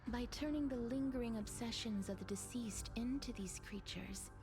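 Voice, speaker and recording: An actress playing a soft-spoken, solemn and thoughtful young woman in a video game, speaking formally and with eerie calm. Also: a little high, normal speed, music in the background, natural voice. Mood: calm, pensive. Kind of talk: scripted video game dialogue.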